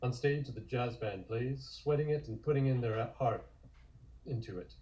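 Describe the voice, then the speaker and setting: A man's voice: medium pitch, moderate pace, warm tone, muffled recording. A man reading scripted narration and stage directions.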